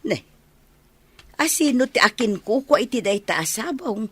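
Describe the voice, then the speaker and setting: Teasing older mother, lively tones. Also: a little high, moderate pace, breathy edge. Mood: curious. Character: older village mother, nosy, teasing, matchmaking. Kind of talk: radio drama acting.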